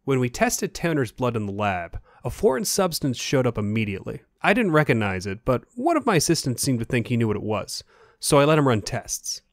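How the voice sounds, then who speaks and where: A man's voice: mid-range voice, very animated, a little fast, dry recording, studio quality. A man playing a matter-of-fact hospital lab colleague reporting his findings in a radio mystery. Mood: grave, factual. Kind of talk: remake of classic radio mystery acting.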